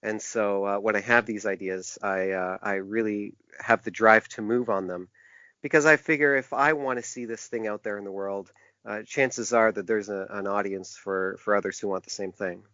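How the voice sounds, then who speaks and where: Low-voiced man, halting and thoughtful. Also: fairly even intonation, bright, forceful tone, no room sound. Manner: thoughtful, halting, repeats words as thinking. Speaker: interview guest, publisher and graphic designer. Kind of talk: conversational interview answers. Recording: dull, lo-fi, dry sound.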